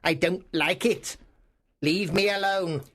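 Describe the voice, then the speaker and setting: Man playing cantankerous old miser, storybook character, raised pitch, hard voice, curt, scornful. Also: slow pace, a bit bright, heavily acted. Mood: irritated, contemptuous. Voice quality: hard, creaky voice. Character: mean, bad-tempered old male miser.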